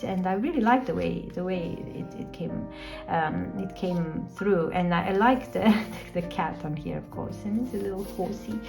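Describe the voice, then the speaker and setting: A woman whose first language is Romanian, talking casually and reflectively through her art journal pages, with many pauses and ums. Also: mid-range voice.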